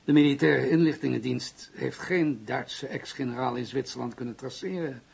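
A man playing an uneasy, deferential police colleague in a Dutch radio play, speaking haltingly. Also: medium pitch, vocal fry, a little mellow, slightly rough. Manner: halting, hesitant.

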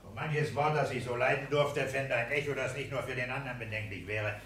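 An actor playing a smug, stubborn petty-bourgeois civil servant, blunt and domineering, in a 1960s German radio play. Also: male voice, medium pitch, fairly quick, a bit bright, slight background noise, natural voice. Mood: dismissive, firm. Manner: blunt, self-satisfied, domineering.

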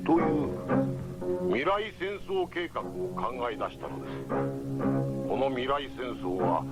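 A slow, scheming skull-faced villain. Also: male voice, mid-range voice, very animated, loud music behind the voice. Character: sinister skull-faced male villain mastermind. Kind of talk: 1970s radio drama acting. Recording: dull lo-fi 1970s radio recording.

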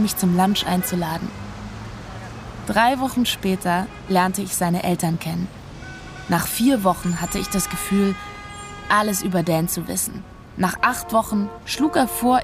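A woman's voice: medium pitch, natural voice. An actress playing a detached, unsentimental woman who recounts her past in a dry, matter-of-fact way, as literary radio drama narration.

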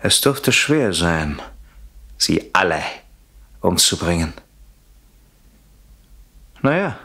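An actor playing a betrayed husband turned cold-blooded killer in a radio crime drama, speaking with deliberate sardonic menace. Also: male voice, deep voice, sing-song, creaky, pushed and bright, husky, full character voice.

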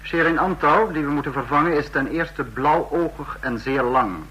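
Cold fascist leader, formal and menacing, slightly high bright voice, old radio drama. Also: male voice, normal speed, poor audio. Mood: stern, grave. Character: cold, commanding dictator of a fascist state.

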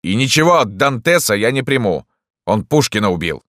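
A man voicing a bossy, grumbling old doctor with a creaky, grating voice in an audiobook. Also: low voice, sing-song, a little slow, fairly bright tone, dry recording, studio quality, pitched above the performer's own voice, heavily acted. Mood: irritable. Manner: grumbling, authoritative. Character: cranky, bossy old male doctor, gnomish and grey-bearded.

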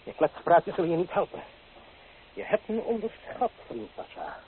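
An actor playing a wounded, solemn suitor who threatens and demands a duel in a period radio play. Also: male voice, high voice, big pitch swings, normal speed, slight background noise. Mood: indignant, threatening. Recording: old tinny radio recording, background hubbub.

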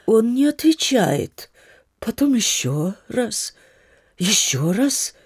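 Slow, husky landlady. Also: a little high, very animated, darkish tone, no echo, studio quality, exaggerated voice. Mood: sorrowful recounting. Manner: halting, rambling, repetitive storytelling. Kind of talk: Russian literary audio drama acting.

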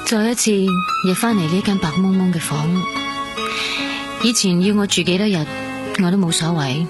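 Woman playing sad young hong kong patient, soft spoken radio drama, cantonese, clear music behind. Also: fairly low pitch, normal speed, close to an everyday voice. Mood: wistful, fearful. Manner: subdued, reflective. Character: wistful young female heart-transplant patient. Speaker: actor. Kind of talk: radio drama dialogue and inner monologue.

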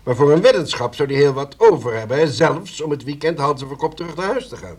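A man playing a shrewd, sardonic police commissioner in a Dutch radio play, speaking formally with probing, dryly ironic questions in a husky voice. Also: a little high, animated, quick pace, a little mellow. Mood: dryly questioning.

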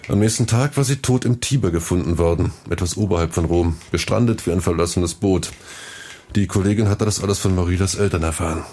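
A man's voice: low voice, brisk, mellow. An actor playing a worldly, matter-of-fact policeman in a radio crime drama, with a dry reporting manner. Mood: factual.

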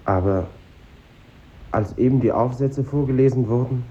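An actor playing a dreamy, unsure schoolboy poet in a 1950s radio drama, speaking haltingly. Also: male voice, mid-range voice, warm tone, close to an everyday voice. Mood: subdued, uncertain. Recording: muffled old radio recording.

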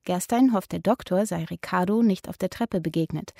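A woman's voice: lowish voice, moderate pace, creaky, warm tone, dry recording, clean studio sound. A woman reading stage directions as a scripted narrator.

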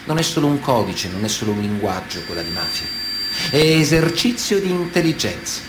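A man reading a scripted literary narration for radio theatre in a measured, solemn manner. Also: fairly high pitch. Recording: clear background noise.

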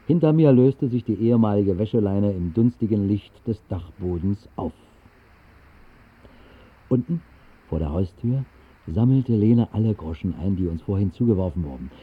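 An actor narrating a radio drama as a wistful storyteller, measured and deadpan in a literary style. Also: male voice, fairly low pitch, husky. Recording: muffled band-limited old radio recording.

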